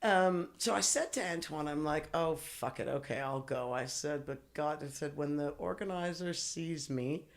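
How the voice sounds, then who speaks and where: Gravelly woman telling a rowdy story. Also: low-pitched, moderate pace, few pauses. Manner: profane, laughing, animated storyteller. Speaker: podcast guest. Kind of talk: casual storytelling chat.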